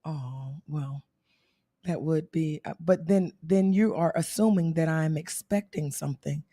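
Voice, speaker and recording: Woman, hoarse, relaxed and teasing, casual livestream co-host, dry sound. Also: sits low, measured pace, no room sound.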